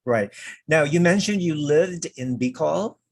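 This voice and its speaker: A man's voice: fairly high pitch, animated, unhurried. Chatty man interviewing over video call. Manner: chatty, repeats words, many fillers. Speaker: pageant interviewer.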